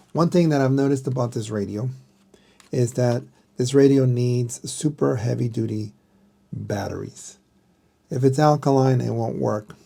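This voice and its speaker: Middle aged guy doing a casual explainer, mellow n creaky voice, kinda halting, thinks out loud. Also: medium pitch. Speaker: vlogger showing a vintage radio.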